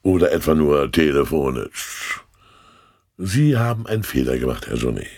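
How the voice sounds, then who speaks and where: A man's voice: very deep voice, big pitch swings, normal speed, a little mellow, no echo, clean studio sound, exaggerated voice. An actor playing a sly, condescending state official in a German radio play, suave and mockingly polite as he questions people. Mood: sly, probing.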